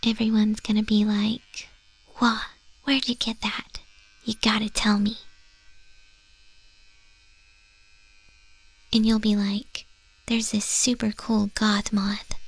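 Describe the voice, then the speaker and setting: A woman voicing a friendly goth moth monster-girl tattoo artist in an ASMR roleplay, chatting gently in a soft, breathy, often whispered voice. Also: medium pitch, no echo, low-quality recording. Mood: playful, dreamy. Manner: gentle, casual, chatty, reassuring. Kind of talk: ASMR roleplay, spoken to the listener. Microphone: close microphone.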